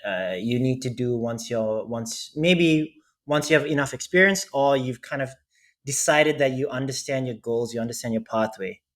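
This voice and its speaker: A man's voice: mid-range voice, normal speed. Earnest young male podcast co-host. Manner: earnest, self-reflective, repeats himself. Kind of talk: casual podcast chat.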